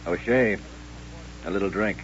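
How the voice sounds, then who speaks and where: Deep-voiced menacing gunfighter. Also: male voice, unhurried. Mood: mocking, menacing. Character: menacing, sardonic male western gunfighter. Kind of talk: old-time radio western acting. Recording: muffled old radio recording with hum.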